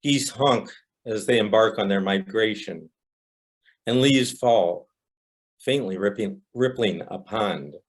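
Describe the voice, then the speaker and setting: Older male poetry reader, fairly deep, unhurried, deliberate and reflective delivery. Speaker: poet reading own poem. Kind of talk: poetry recitation.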